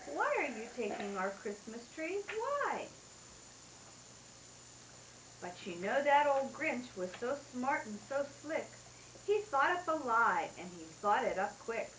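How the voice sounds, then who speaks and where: Slow sing-song mother reading a bedtime story. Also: on the high side, fairly bright tone, echoey room, slight background noise, low-quality recording. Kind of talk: reading aloud a rhyming storybook.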